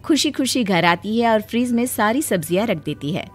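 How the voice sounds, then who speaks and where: A woman's voice: fairly high pitch, expressive, normal speed, faint music behind. A woman reading scripted narration as the storyteller of a moral tale.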